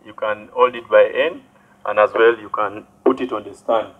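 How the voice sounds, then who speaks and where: A young man giving a product demonstration outdoors in short, halting statements, amplified through a megaphone. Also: on the low side, steady tone, phone-quality sound. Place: outdoors by a building wall, some distance from camera. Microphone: megaphone and headset into portable speaker.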